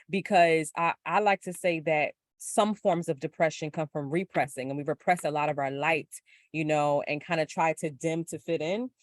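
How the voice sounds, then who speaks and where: Low gritty-voiced woman, reflective chat. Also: dry recording, studio quality. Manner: reflective, animated, lots of filler words. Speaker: podcast guest. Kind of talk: casual spiritual chat.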